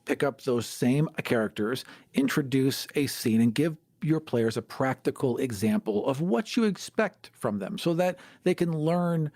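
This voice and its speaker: A man's voice: medium pitch, lively intonation, a little slow, hardly any pauses, a little mellow, dry recording. A man hosting a podcast in casual discussion, earnest and opinionated in how he talks. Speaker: podcast host and producer.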